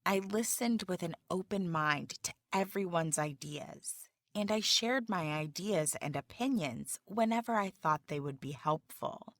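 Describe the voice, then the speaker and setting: A woman playing a job candidate in a scripted practice interview for English learners, speaking slowly and carefully. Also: on the low side, lively intonation, dry recording, clean studio sound.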